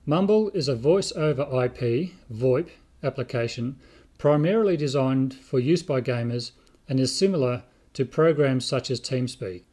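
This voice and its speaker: A man's voice: mid-range voice, dark tone. Middle-aged man narrating. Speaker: host. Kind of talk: scripted narration to camera. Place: indoor room with bookshelves.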